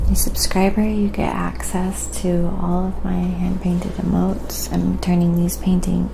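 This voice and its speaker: A soft-spoken woman chatting gently and unhurriedly on a quiet ASMR painting livestream, with a creaky, sometimes breathy voice. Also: lowish voice. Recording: clearly audible background noise.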